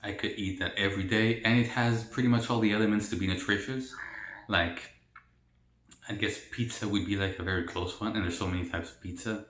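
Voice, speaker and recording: A young man from El Salvador, a mukbang vlogger, answering viewer questions in a relaxed, rambling way with many fillers. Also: on the low side, a little fast. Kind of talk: casual Q&A while eating. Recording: roomy echo.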